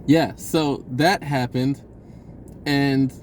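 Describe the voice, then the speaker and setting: A man telling a personal story in a casual, rambling vlog style, stammering, blurting and re-enacting conversations excitably. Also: mid-range voice, extremely slow, slight background noise. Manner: blurting, self-interrupting, stammering over phrases.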